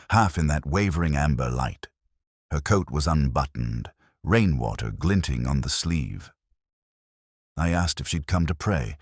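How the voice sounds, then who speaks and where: An extremely deep voice from a male actor tells a detective story as a brooding inspector. The delivery is solemn and measured, yet the pitch moves expressively through each reflective line. The sound is dry and close, like an audio drama narration. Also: no room sound, full character voice. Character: brooding male English police inspector narrating his investigation. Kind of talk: literary first-person scripted narration.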